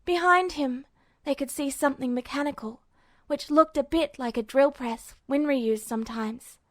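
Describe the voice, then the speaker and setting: A woman's voice: sits high, moderate pace, no room sound. A woman reading scripted fiction aloud as a narrator. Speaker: amateur audiobook narrator.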